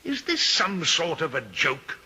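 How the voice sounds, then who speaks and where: An actor playing an arrogant, smooth-talking doctor of dubious repute in a gothic radio mystery, with a haughty, theatrical manner. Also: male voice, fairly high pitch, very animated, measured pace, bright, forceful tone, full character voice. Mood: shocked. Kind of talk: old-time radio drama acting. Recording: noisy old radio drama recording.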